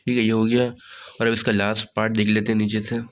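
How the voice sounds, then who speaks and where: A hoarse man with a sore throat walking students through a study guide in a relaxed way, often saying "theek hai". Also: lowish voice, fast talker. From Pakistan. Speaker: online tutor. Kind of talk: casual study-guide walkthrough.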